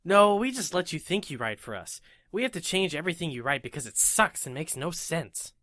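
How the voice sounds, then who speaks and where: Woman as a snarky critic, bright and animated. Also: low-pitched, a little fast, dry recording, studio quality. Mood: scornful. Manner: blunt, sarcastic. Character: snarky, dismissive female critic. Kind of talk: comedic cartoon parody skit.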